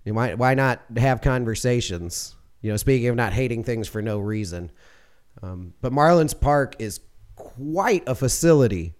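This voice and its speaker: A man hosting a podcast, talking in a rambling, casual way, his voice a little hoarse from losing it. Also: mid-range voice, a little mellow. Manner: rambling, conversational, with fillers and self-corrections. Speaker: podcast host. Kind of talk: casual monologue.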